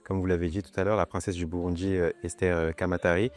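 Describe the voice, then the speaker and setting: A man's voice: low voice, little pitch movement, fast. A man of about twenty, a student model, answering interview questions in a gallery, hesitant and full of fillers. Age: 20s. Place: art gallery.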